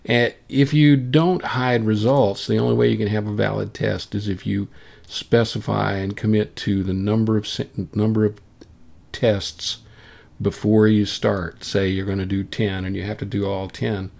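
A man presenting a casual software tutorial, thinking aloud informally as he demonstrates. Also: fairly low pitch, level tone, normal speed, gravelly. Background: solo acoustic guitar clips playing.

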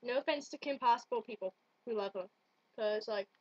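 High-pitched young woman on lo-fi webcam audio. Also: fairly quick, no room sound. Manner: playful, teasing, sweary. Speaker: friend joining in character voices. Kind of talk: casual joking chat. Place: small bedroom at home, indoors. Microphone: computer webcam mic at arm's length.

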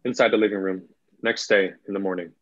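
Low-voiced young man narrating, muffled sound. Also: fairly even intonation, fast, no echo. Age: young adult. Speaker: narrator reading stage directions. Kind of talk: scripted narration. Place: indoor room at home, video call. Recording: dull, lo-fi video call.

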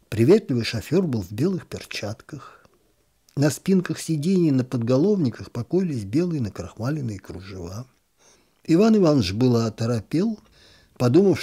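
A man reading a literary story aloud in an expressive, gently ironic storytelling manner. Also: mid-range voice, slightly rough.

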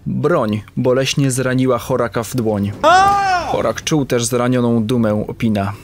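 A man's voice: mid-range voice, steady tone, a little slow, noisy background. Man narrating an audio drama.

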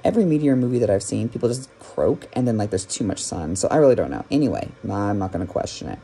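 Bright-voiced young man, rambling casually. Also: on the low side, sing-song, fast talker. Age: young adult. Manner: wry, self-deprecating asides. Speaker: podcast reviewer. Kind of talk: casual rambling review to camera. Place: small room indoors. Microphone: phone at arm's length. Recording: clear background room noise.

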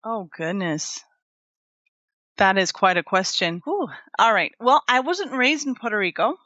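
A woman's voice: medium pitch, expressive, measured pace, bright, forceful tone, no room sound, highs cut off. A woman raised in Florida, a podcast guest who answers reflectively, with hesitations and fillers. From Florida, USA. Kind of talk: casual interview answers.

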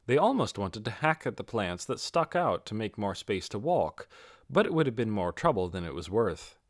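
A man's voice: fairly low pitch, very animated, normal speed, no echo, clean studio sound. A man narrating a fantasy audiobook from a written script.

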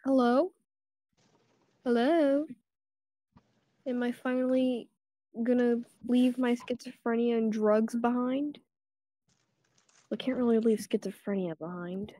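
A woman's voice: on the high side, fairly even intonation, takes it slowly, pauses often, warm tone, no room sound. A woman voicing an anxious, foul-mouthed jester losing her mind in a comic cartoon fandub, repeating herself as she mutters. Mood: bewildered. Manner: swearing, repetitive, muttering to herself.

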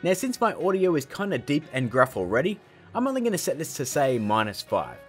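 Animated, slightly husky man hosting a tutorial. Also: a little high, fairly quick, soft background music. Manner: jokey, emphatic, theatrical. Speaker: tutorial host. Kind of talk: casual, scripted video tutorial.

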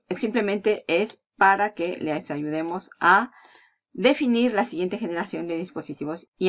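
Chatty Spanish woman over a dull mic. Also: mid-range voice. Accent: Peninsular Spanish. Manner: chatty and upbeat, laughing between points. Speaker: affiliate marketing channel host. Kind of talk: casual screen-recording walkthrough. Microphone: voice-over on a screen recording.

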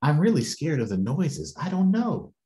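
A man's voice: on the high side, sing-song, moderate pace, mellow, pitched above the performer's own voice. An American man voicing a scared, fretful little girl from a picture book, with worried, swooping exclamations. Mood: frightened.

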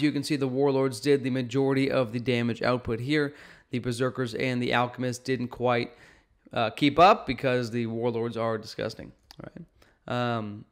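A man in his thirties hosts a gaming video with a clean, resonant voice at a middle pitch. He talks casually through strategy, stressing points emphatically and often checking in with a quick "okay" or "right.". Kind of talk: casual game strategy commentary. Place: indoor room at home.

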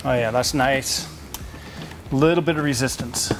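A man in his forties in a garage workshop, explaining a rooftop tent install step by step in a relaxed, practical way. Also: mid-range voice, unhurried, over loud music. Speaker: off-road shop installer. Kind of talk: how-to install demonstration.

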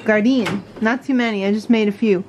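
A woman's voice: mid-range voice, fairly even intonation, fairly quick, darkish tone. A middle-aged woman chatting casually at home, recorded on a handheld camera. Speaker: vlogger's mother. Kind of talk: casual family chat. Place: home kitchen, indoors. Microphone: handheld camera at a short distance. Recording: noisy home camera audio.

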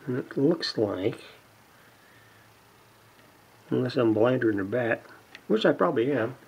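A man giving unscripted, casual narration of hands-on repair work, halting and muttering to himself as he goes. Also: mid-range voice, animated, creaky, darkish tone, breathy edge, lo-fi sound. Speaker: hobbyist radio repairer, video host. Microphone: camera mic near the workbench.

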